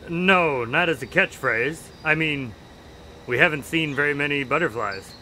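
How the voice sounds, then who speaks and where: A man's voice: a little high, normal speed, pushed and bright, slight background noise, poor audio. A man playing an affable doctor, a detective's sidekick who recounts cases politely in a radio drama. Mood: mildly exasperated. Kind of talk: radio drama acting and storytelling.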